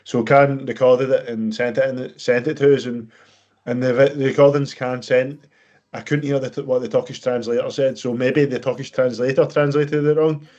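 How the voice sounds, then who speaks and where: A man co-hosting a football podcast, speaking English natively, in casual, halting chat that repeats words. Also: mid-range voice, steady tone, darkish tone, lo-fi sound. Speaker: podcast co-host.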